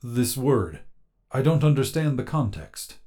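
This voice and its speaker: A man voicing an earnest male shrimp-like alien who speaks through a translator, formal, halting and inquisitive, in an audio story. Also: medium pitch, expressive, mellow, natural voice. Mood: puzzled.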